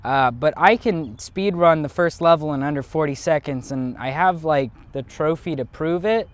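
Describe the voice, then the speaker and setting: A man's voice: on the high side, moderate pace. A young man hosting a comedic video essay, sarcastic, profane and self-deprecating.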